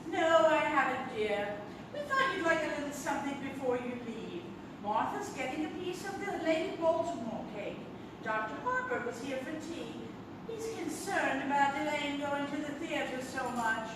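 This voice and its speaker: A woman's voice: sits high, expressive, moderate pace. A woman playing a sweet, unflappable elderly aunt in a live stage comedy, genteel, fussy and matter-of-fact. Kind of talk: stage comedy radio-theatre acting. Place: live theatre, distant microphone. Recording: roomy, noisy stage recording from far off.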